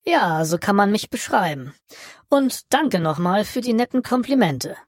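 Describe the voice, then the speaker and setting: A woman voicing a genial, drily ironic Martian son from Utopia in a satirical radio play, speaking deliberately and theatrically. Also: mid-range voice, big pitch swings, no room sound, clean studio sound. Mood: wry, proud. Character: genial, wry, bored Martian alien, prodigal son from Utopia.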